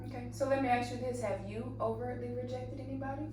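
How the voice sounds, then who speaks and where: A woman's voice: a little high, steady tone, fast talker. A woman of thirty co-hosting a casual podcast chat, blunt and teasing. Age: 30s. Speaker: podcast co-host. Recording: very echoey room, distant mic, hum.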